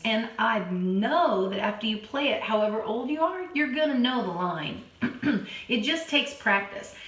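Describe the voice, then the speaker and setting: A hoarse woman who keeps clearing her throat, patiently teaching a children's keyboard lesson and counting beats aloud. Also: a little high, fairly bright tone. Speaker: piano teacher. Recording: roomy, from a distance. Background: keyboard playing.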